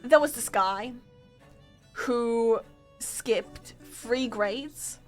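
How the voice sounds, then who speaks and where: Young Polish-native woman streamer, slow laughing anecdote, faint music behind. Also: a little high. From Poland. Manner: giggly, self-mocking, chatty. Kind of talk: casual storytelling to chat.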